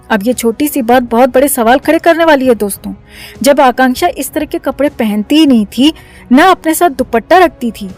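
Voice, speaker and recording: A woman's voice: sits high, a little mellow. A woman reading a scripted news voice-over in a dramatic manner, talking straight to the viewers as friends. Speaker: news narrator.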